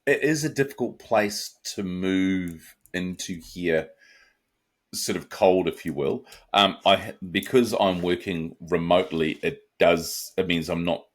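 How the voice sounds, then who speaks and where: A middle-aged man with a New Zealand accent, a guest answering interview questions in a relaxed, reflective way. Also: low voice, measured pace. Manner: relaxed, reflective, with frequent restarts and asides.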